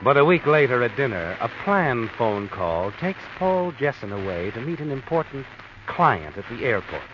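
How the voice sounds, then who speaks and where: An actor playing an ominous, all-knowing narrator in an old radio mystery, speaking in a sly, insinuating way on a muffled, noisy recording. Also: male voice, mid-range voice, big pitch swings, normal speed, faint music behind, natural voice. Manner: insinuating, addresses a character directly. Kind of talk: 1940s radio mystery narration in second person.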